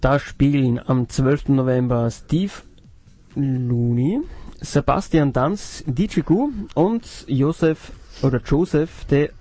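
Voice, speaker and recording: A man's voice: medium pitch, slow, warm tone. A man from Upper Austria co-hosting a casual radio show and asking the guest questions. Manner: chatty, asks the guest questions. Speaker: radio co-host.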